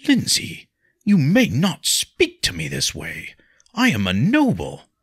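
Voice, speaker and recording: A man voicing a haughty, proper nobleman in an audiobook, formal and forceful, indignant and uneasy. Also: on the low side, huge pitch swings, unhurried, gravelly, slightly rough, no echo, clean studio sound, pitched above the performer's own voice, heavily acted. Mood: shocked, stiff.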